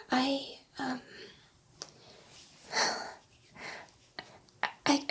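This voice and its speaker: A gentle, excitable female alien scientist, played by a woman, in a sci-fi ASMR roleplay. She whispers softly and breathily at a very unhurried pace, in a high register. Her delivery is halting and coaxing, meant to reassure a human test subject. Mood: tender, amazed.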